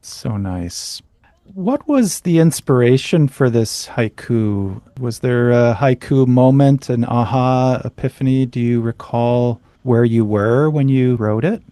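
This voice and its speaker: A soft-spoken man hosts a quiet conversation about poetry. His voice is mellow and warm, and the sound is dry and close. He takes his time and strings his questions together gently. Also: mid-range voice, slow pace, no echo. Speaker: podcast host and haiku judge. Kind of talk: gentle reflective interview.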